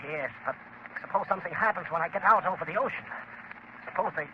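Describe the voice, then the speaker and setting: A man's voice: high voice, quick pace, lightly acted. An actor playing an anxious, cowardly pilot henchman in 1930s radio drama style, hesitant and worried. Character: nervous, cowardly male pilot henchman of spies. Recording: muffled, very noisy 1939 radio broadcast.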